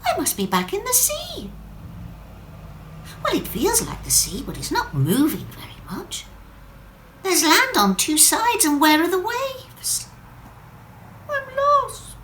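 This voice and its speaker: A woman voicing an excitable, innocent little female water drop in a children's story, wide-eyed, exclaiming and questioning. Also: extremely high pitch, slow pace, pauses often, slight background noise, pitched above the performer's own voice, full character voice, low-quality recording. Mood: puzzled.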